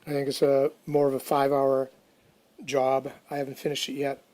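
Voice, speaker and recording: A man's voice: mid-range voice, completely flat delivery, normal speed, darkish tone. A man giving casual how-to narration for a DIY project, informal and unscripted, as if thinking aloud. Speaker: DIY restoration video narrator.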